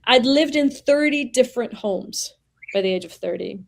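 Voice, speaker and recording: A woman in her forties from Venezuela, talking as an interview guest in a reflective way, pausing and searching for words as she explains. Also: on the high side, animated, gravelly. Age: 40s. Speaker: guest, executive coach. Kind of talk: conversational interview.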